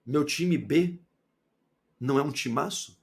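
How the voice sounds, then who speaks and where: Gravelly emphatic Bahian football pundit. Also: male voice, measured pace. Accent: Bahian Portuguese. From Salvador, Bahia, Brazil. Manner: emphatic, rhetorical questions, shifts from loud to low. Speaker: football commentator. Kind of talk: opinionated football commentary monologue.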